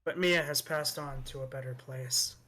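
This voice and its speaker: A woman's voice: extremely low pitch, moderate pace, crowd noise. A woman playfully reading game dialogue aloud among friends in a casual style. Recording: music behind the voice.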